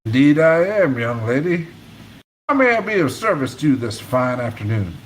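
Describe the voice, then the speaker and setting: A man voicing a smiling, gold-toothed male pawn shop owner who speaks with smooth courtesy, read from a book. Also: mid-range voice, big pitch swings, normal speed, a little hiss or hum, lightly acted. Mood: courtly.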